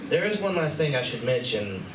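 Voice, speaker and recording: A man's voice: medium pitch, sing-song, a little fast, recorded from a distance, lightly acted. A man playing a nervous, hesitant convention helper in a live amateur radio play, in a noisy, echoey room. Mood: anxious, explaining. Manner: hesitant, awkward. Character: nervous, awkward male convention staffer, eager to help. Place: large indoor room at a convention.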